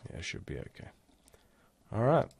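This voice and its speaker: A man giving a casual, step-by-step software walkthrough as an instructor. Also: moderate pace, dry recording. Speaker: tutorial instructor. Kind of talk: screen-recorded software tutorial, casual walkthrough.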